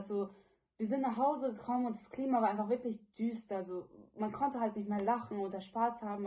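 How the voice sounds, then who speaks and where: An eighteen-year-old woman from China who has lived in Germany since childhood, giving an unscripted personal testimony in an earnest, halting, informal way. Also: medium pitch, warm tone. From from China, living in Germany since childhood. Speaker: witness giving testimony about a detained relative.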